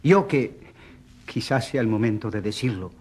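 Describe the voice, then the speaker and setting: A man's voice: on the low side, expressive, normal speed, darkish tone, a little hiss or hum, natural voice. An actor playing a fatherly, firm bishop who testifies with emphatic oratory in a radio drama. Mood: fatherly, resolute. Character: solemn male bishop of a rural diocese, fatherly, firm.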